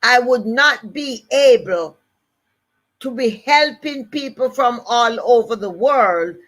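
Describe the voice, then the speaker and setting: A woman's voice: on the high side, animated, takes it slowly, a bit bright. An older woman with a Jamaican accent giving a motivational live-stream talk, emphatic and repeating her key phrases. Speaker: motivational speaker.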